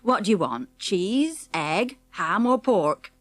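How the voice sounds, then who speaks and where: Homely storybook shopwoman, brisk, motherly, animated pitch, dry sound, faint music. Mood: homely, helpful. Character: kindly, busy village shopwoman with grown sons. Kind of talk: audiobook character dialogue.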